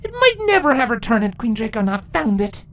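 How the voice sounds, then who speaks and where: Woman voicing shrill, defiant young changeling queen. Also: big pitch swings, brisk, a bit bright. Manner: spitting, outraged, vehement.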